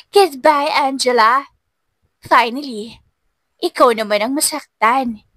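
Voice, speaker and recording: A smug, vengeful young villainess, performed by a woman narrator in a romantic audio drama. Her gloating voice is on the high side and recorded dry. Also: slow, a bit bright, no echo. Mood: smug triumph.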